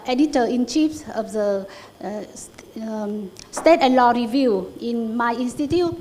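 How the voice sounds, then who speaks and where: A woman who works as a journal editor-in-chief, speaking hesitantly at a conference discussion, often pausing and restarting. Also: high voice. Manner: hesitant, many fillers and restarts. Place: conference hall.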